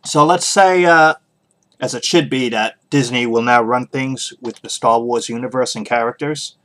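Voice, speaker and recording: A man hosting a podcast, giving casual solo opinions in a halting way, pausing often as he thinks aloud. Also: medium pitch, fairly bright tone. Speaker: podcast host.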